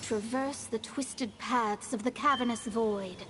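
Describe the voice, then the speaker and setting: High-voiced, proud young astrologer sorceress, played by a woman. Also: measured pace, background noise, lightly acted. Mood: solemn incanting. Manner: haughty, theatrical. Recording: loud game music behind.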